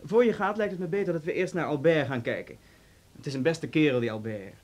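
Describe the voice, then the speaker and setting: An actor in a Dutch radio play voicing a suave, talkative police officer with an urbane, polite manner and sly menace. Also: male voice, a little high, fairly quick, a little mellow, lightly acted. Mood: smoothly pressing.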